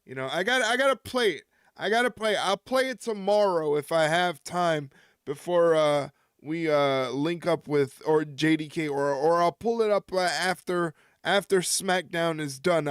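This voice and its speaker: A man's voice: on the high side, clean tone, no room sound, studio quality. A man hosting a wrestling live stream, rambling and joking with his chat and often chuckling. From United States.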